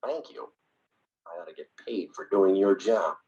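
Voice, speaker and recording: A muffled vintage radio recording has a sardonic, impatient man played by an actor grumbling in a low, husky voice. He mutters wisecracks in 1940s radio style. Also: moderate pace, dark tone. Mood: scornful, impatient. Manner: muttering, sarcastic asides.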